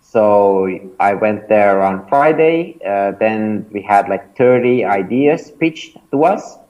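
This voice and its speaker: Estonian man, hesitant, lowish voice. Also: animated, slow, dull audio. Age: 30s. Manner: hesitant, many fillers and restarts. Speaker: startup founder and mentor, interview guest. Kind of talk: casual interview answers.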